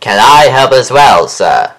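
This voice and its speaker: A man voicing Toby, a gentle, sensible old male tram engine, speaking politely in a fan audio drama. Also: medium pitch, very animated, measured pace, vocal fry, full character voice. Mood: mild.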